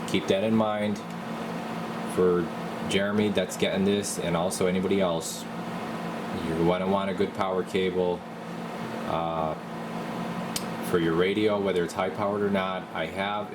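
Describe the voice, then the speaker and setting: A man's voice: sits low, heavy background noise. A man talking as a repair technician, walking viewers through a hands-on job in an informal, rambling way. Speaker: radio repair technician and video host. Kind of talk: casual how-to demonstration.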